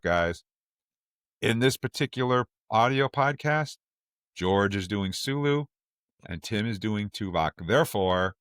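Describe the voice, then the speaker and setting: Low-voiced, emphatic male podcast host. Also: fairly bright tone, dry recording, studio quality. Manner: emphatic, insistent. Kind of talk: casual opinionated fan discussion.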